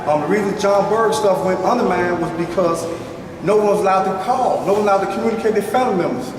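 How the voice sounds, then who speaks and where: A man's voice: high voice, quick pace. A man giving insistent, plain-spoken personal testimony as a community speaker at a press conference. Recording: very echoey hall, distant mic, noisy.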